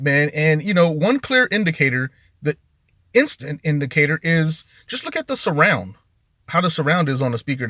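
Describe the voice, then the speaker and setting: A man's voice: on the high side, fairly bright tone, no room sound, studio quality. A middle-aged man hosting a car audio video in a casual, conversational rant, leaning on key words for emphasis.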